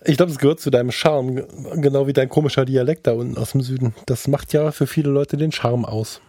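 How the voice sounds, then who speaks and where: A man's voice: mid-range voice, steady tone, fast, warm tone, no room sound, studio quality. A man co-hosting a podcast in casual chat, blunt and joking in the way he talks. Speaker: podcast co-host.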